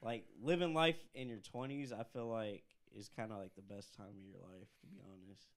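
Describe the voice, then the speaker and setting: A man in his twenties on a casual podcast who puts laid-back questions to his friends and lets his sentences trail off softly. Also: on the low side, steady tone, normal speed. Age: 20s. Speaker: podcast co-host. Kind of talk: casual chat among friends.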